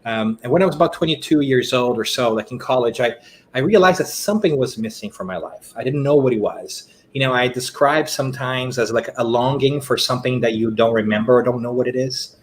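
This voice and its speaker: A middle-aged man raised in Brazil and speaking English as a talks through his story as a podcast guest. He is reflective and unhurried, pausing on fillers and restarting sentences, at an ordinary mid pitch. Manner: thoughtful, with many fillers and restarts. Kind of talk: casual interview conversation.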